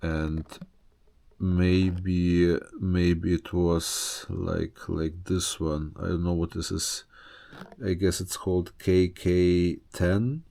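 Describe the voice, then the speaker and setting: A man's voice: deep voice, flat delivery, drawn-out words, gravelly, mellow. A man giving a casual, unscripted show-and-tell as a hobbyist presenter, speaking hesitantly and often searching for words.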